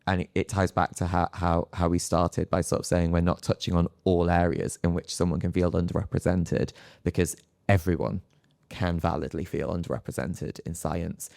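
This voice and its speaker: A man speaking off the cuff on a discussion panel in a reflective, halting way. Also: low-pitched, level tone, vocal fry, a little mellow, no echo, clean studio sound.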